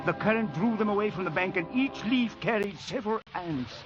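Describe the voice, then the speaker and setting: Worried official narrator, high-pitched for a man, formal dramatic storytelling, vintage radio drama, muffled broadcast sound. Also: lively intonation, a little fast. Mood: grave. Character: anxious, doubting district commissioner narrating a jungle ordeal. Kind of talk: old-time radio drama acting and narration. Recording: dull old radio broadcast, music behind.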